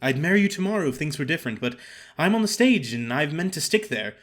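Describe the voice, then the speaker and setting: A man's voice: fairly high pitch, big pitch swings, fast talker, fairly bright tone. A man voicing a small, frank young chorus girl in an audiobook, chatty, earnest and plain-spoken. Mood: earnest, firm. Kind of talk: audiobook character acting.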